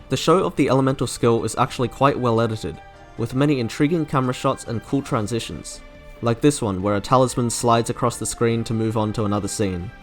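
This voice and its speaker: A young man you would hear narrating a gaming ranking video, medium-pitched, with faint music underneath. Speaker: video essayist reviewing game trailers. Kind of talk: scripted narration, opinion commentary. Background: soft game music.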